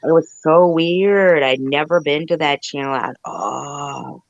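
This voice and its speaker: A woman chatting casually as a panelist in a halting, rambling way. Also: deep voice, dry recording. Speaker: live chat panelist. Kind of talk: casual chat. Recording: dull lo-fi call audio.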